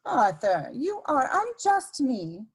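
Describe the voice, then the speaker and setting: Worldly society adventuress, woman performer, high and lilting with big pitch swings; coquettish, theatrical, scheming, for a period comedy play. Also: wildly swooping pitch, husky, full character voice. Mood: airy, evasive. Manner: theatrical, coquettish, drawn-out emphasis.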